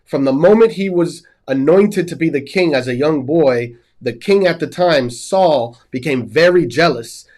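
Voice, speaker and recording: Guy hosting a bible study show, bit high voice, nasal and stuffed up from a cold, earnest storytelling. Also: lively intonation, measured pace, few pauses, a little mellow. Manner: earnest storytelling, retelling scripture background. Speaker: host.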